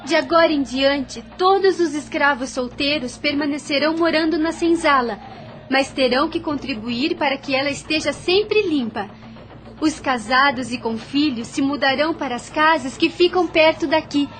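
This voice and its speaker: A woman's voice: high-pitched, normal speed, a bit bright. A woman playing a kind, resolute young plantation lady in an audio drama, earnest and emotive, with a Brazilian accent.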